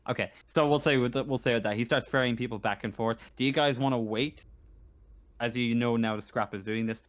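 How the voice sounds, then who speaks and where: A man speaking casually as a game master, narrating a tabletop game in a halting, hesitant way. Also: mid-range voice, steady tone.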